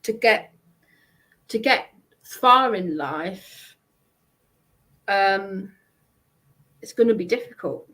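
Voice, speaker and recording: A woman chats casually at a slow pace. Her delivery is halting and hesitant, with a mid-range pitch. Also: animated, fairly bright tone, rough recording. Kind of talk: casual chat.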